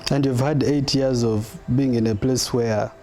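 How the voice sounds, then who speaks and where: A man hosts a conversation and puts probing questions to his guest. His voice stays flat and even in pitch. He often completes the guest's thoughts for him. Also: measured pace, crowd noise. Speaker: interviewer host. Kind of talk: conversational interview.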